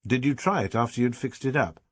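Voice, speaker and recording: A man's voice: mid-range voice, fairly quick, dry recording, pitched above the performer's own voice, close to an everyday voice. A man voicing a patient police detective, coaxing a child with friendly persuasion. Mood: questioning. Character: affable Scotland Yard detective, coaxing and patient.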